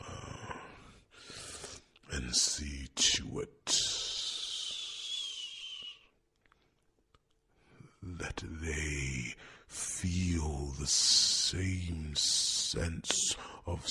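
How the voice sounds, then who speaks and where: A man voicing a wise, gentle old dragon advisor in an ASMR fantasy roleplay, with a gravelly, breathy, rumbling whisper and thoughtful hums. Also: a little hiss or hum, exaggerated voice. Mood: protective, soothing. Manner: slow, deliberate, with thoughtful hums.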